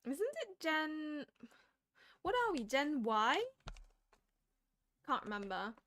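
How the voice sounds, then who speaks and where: Bright high-voiced woman streamer. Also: slow. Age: 20s. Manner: chatty, laughs often, animated. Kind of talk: casual chat.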